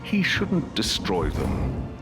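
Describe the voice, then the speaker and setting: An actor playing a pompous conquering tyrant in a video game, grandiose and theatrical, savouring each word. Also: male voice, sits low, wildly swooping pitch, slow, full character voice. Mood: scornful. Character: pompous male time-travelling tyrant conqueror, gloating over battles. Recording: loud music underneath.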